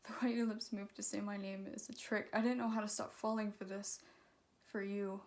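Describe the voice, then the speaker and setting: Woman reciting slam poetry, restrained pitch. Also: flat delivery, moderate pace, darkish tone. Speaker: slam poet.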